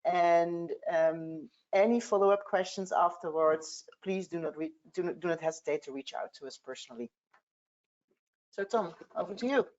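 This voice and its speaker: A woman with a Dutch accent hosting a business webinar, speaking in a measured way with frequent fillers. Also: fairly low pitch, a little mellow, dull audio. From Netherlands. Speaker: tax partner hosting a webinar.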